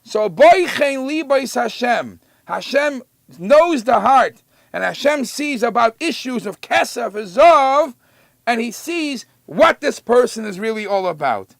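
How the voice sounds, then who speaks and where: Guy w yeshiva teaching scripture, high voice, gravelly, emphatic. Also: very animated, dry recording. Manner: emphatic, slows down to quote verses then explains conversationally. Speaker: rabbi giving a Torah lesson. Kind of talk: religious teaching, explaining scripture.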